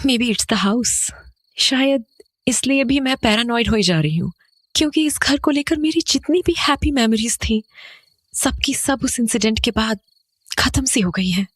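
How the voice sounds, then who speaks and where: Actress as an uneasy mother, lively higher voice. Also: measured pace, dry recording. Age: adult. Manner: questioning, conversational, Hindi mixed with English. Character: uneasy mother and wife, returning to her old home. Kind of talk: audio horror drama dialogue.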